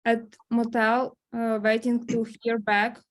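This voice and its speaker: A woman at a script table read playing a gentle, loving grandmother who reassures her granddaughter. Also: on the high side, monotone, slow.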